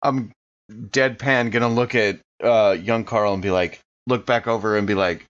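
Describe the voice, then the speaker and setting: Low male gamer, dull-sounding mic. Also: steady tone, normal speed, a bit bright. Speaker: player in a tabletop roleplay game. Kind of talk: casual first-person roleplay narration.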